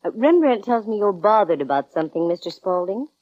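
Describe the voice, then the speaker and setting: A woman's voice: medium pitch, sing-song, a little fast, a little mellow, no room sound. A woman playing a wry, self-assured young private detective in 1940s radio drama style, with dry, breezy delivery. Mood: wry, businesslike. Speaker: lead actress in radio detective series. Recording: dull vintage radio recording.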